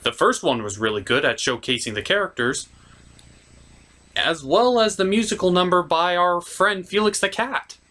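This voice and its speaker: A man's voice: high-pitched, expressive, normal speed, sharp tone. A man hosting a comedic film review, giving opinionated and digressive commentary. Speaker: host, puppeteer and film reviewer.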